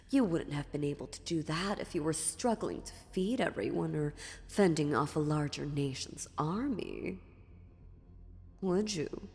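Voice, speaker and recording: Slow, condescending demon woman played by an actress, echoing hall. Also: on the low side, darkish tone. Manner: slow, condescending, sardonic, theatrical. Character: dominant demon woman, ancient, mocking, menacing patron of a kingdom. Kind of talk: fantasy audio roleplay, ASMR acting. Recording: long reverberant hall, music underneath.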